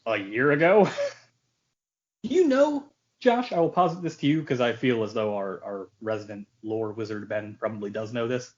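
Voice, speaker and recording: A man's voice: on the low side, lively intonation, normal speed. Male podcaster in slightly muffled audio. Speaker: podcast co-host. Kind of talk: casual banter about films.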